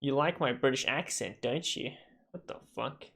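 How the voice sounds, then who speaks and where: A man's voice: medium pitch, normal speed, vocal fry, fairly bright tone. A man giving casual livestream gaming commentary in a slangy, sweary, bantering way. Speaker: livestream gamer.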